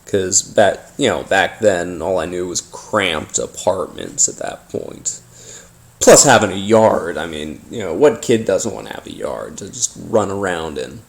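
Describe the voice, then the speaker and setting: A man telling a first-person story casually, as if being interviewed, in a halting, hesitant way with pauses and repeats. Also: low-pitched, animated, vocal fry, heavily acted. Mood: nostalgic, reflective. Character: ordinary man recounting childhood brushes with a haunting.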